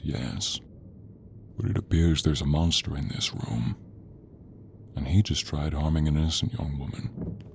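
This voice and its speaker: A man playing a gruff, stern but protective monster hunter in an audio roleplay, with a gravelly, murmuring delivery. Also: a little hiss or hum, full character voice. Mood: stern, menacing. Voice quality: gravelly, very low, creaky. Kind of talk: audio roleplay acting addressed to the listener.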